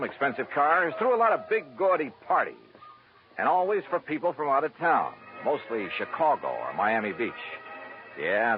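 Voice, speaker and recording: Casting: male actor as world-weary insurance sleuth for old-time radio, brisk delivery with big melodic swings, dull vintage sound. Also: mid-range voice, natural voice. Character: hardboiled, wry male freelance insurance investigator. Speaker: lead actor and first-person narrator. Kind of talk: golden-age hardboiled radio drama acting. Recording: dull vintage radio recording, music behind.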